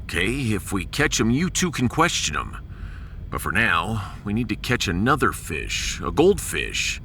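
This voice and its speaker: A man's voice: fairly low pitch, wildly swooping pitch, unhurried, bright, forceful tone, a little hiss or hum, pitched below the performer's own voice, heavily acted. A man playing a firm, decisive starship captain with a dry manner in a science fiction audiodrama. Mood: determined.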